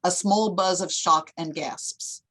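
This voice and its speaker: Low-pitched woman reading screenplay directions. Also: takes it slowly, a bit bright. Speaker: stage-direction narrator. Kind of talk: scripted narration of a screenplay.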